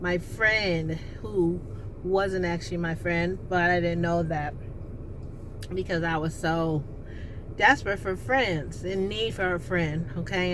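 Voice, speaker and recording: A woman with an alto voice, telling a personal story in a rambling, casual way, with many restarts and fillers. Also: on the low side. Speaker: vlogger. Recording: steady background noise with passing vehicle sounds.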